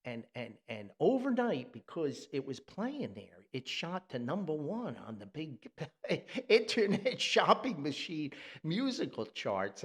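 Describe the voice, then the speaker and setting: A man with a New Jersey accent, hosting a podcast and talking about music in a rambling, enthusiastic way, with fillers and chuckles. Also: medium pitch. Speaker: podcast host and music critic. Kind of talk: casual, unscripted podcast commentary.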